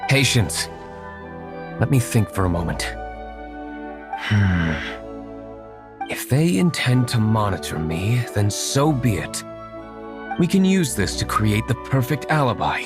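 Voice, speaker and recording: An actor playing a cool, shrewd young winery owner in a video game, speaking formally and in a measured way. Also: male voice, fairly low pitch, big pitch swings, full character voice. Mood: brooding, calculating. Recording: music behind the voice.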